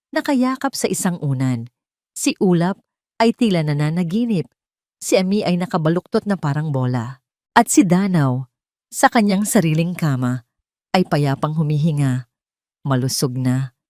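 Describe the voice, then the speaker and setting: A woman's voice: lowish voice, mellow, dry recording, studio quality. A woman with a Filipino accent reading a scripted dramatic story as a storyteller.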